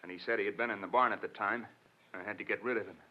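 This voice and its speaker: A muffled vintage radio recording of a man playing a menacing killer from a 1940s crime drama. His voice sits fairly low, and he taunts and brags with a sneer. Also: steady tone, rapid-fire, lightly acted. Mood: gloating menace. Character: menacing, gloating male killer with a gun.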